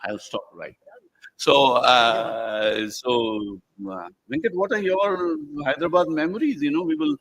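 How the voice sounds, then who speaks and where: Male moderator, Indian accent, mid-pitched voice. Conversational and digressive, halting delivery for a live book panel. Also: a bit bright, rough recording. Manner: digressive, halting, fond of lists. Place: live event before a small audience.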